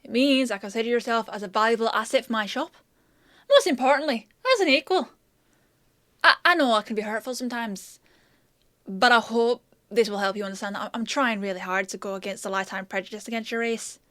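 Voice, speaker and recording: A woman playing a flustered, gruff but well-meaning fantasy apothecary in a scripted audio roleplay, with a hesitant, emotive, conversational delivery. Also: a little high, brisk, a bit bright, no echo, studio quality, lightly acted. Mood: brisk, businesslike, then apologetic, uneasy.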